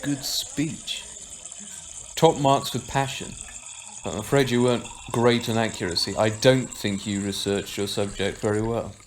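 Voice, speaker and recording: A man's voice: lowish voice, steady tone, measured pace, slight background noise, close to an everyday voice. A man acting a carefree, joking pub regular in an amateur audio drama, talking in a casual, slightly hesitant, teasing way. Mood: flippant.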